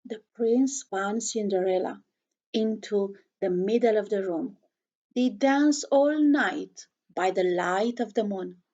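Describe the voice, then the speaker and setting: A woman's voice: mid-range voice, slow, darkish tone, highs cut off. A woman reading a children's storybook aloud, expressively, chatting to young listeners with questions and asides.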